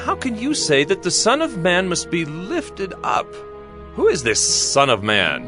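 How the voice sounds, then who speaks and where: A man's voice: sits high, huge pitch swings, a little slow, heavily acted. An actor playing a sceptical man from a crowd, challenging and questioning in a dramatized audio Bible. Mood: puzzled, challenging. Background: music.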